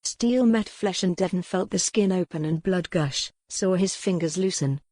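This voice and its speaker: A woman's voice: fairly low pitch, normal speed, mellow, no room sound, clean studio sound. A woman reading a historical romance novel as a scripted, dramatic audiobook narration.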